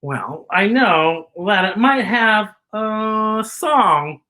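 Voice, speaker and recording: A woman's voice: mid-range voice, expressive, drawn-out words, bright, forceful tone. A woman hosting a children's story time in a playful, theatrical, exclamatory way with campy sass and bits of singing. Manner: campy drag-style sass. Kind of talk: playful kids' show presenting with singing.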